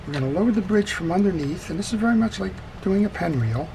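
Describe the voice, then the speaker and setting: A man's voice: high-pitched, fast talker. A man giving a methodical, casual step-by-step repair tutorial as he works at a workbench. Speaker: fishing reel repairer presenting a tutorial. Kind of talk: hands-on instructional demonstration, casual step-by-step talk. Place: indoors at a wooden workbench. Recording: steady background noise.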